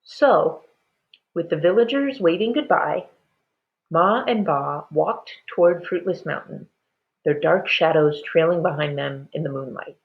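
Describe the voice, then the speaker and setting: A woman reading a children's story aloud in an expressive, dramatic, deliberate way. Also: low-pitched, phone-quality sound.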